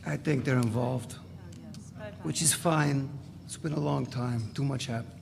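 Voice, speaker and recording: A man's voice: mid-range voice, fairly even intonation, a little slow, gravelly. A man playing a brash, wry ex-radical who recounts wild exploits emphatically in a live dramatic reading of a novel. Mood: resigned. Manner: emphatic storytelling, piling up lists. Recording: echoey hall, hissy live recording.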